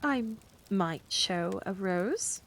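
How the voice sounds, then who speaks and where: A woman's voice: mid-range voice, sing-song, moderate pace, a bit bright. A woman voicing a prim, easily flustered middle-aged witch who snaps when pressed.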